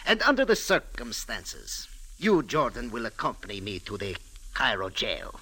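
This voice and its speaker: An actor playing a sour, pompous Egyptian police sergeant with formal speech in a 1940s radio drama. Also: male voice, medium pitch, huge pitch swings, moderate pace, low-quality recording. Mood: coldly suspicious.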